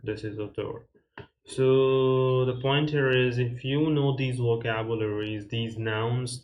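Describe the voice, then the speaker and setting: A man walks beginners through a lesson at an unhurried pace, stopping often to restart a sentence or add a filler. His voice stays level and even, with little rise or fall, as he reads each new word aloud and then repeats it. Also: medium pitch. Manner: slow, measured explaining with many fillers and restarts; reads Arabic words aloud and repeats them. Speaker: Arabic teacher. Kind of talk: casual step-by-step beginner lesson.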